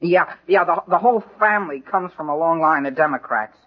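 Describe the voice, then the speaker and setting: On a muffled vintage radio recording, a man acts a lovesick teenage boy, pitched high. He is polite and easily flustered, hesitating and stammering through his lines in 1940s radio comedy style. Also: fairly quick, natural voice.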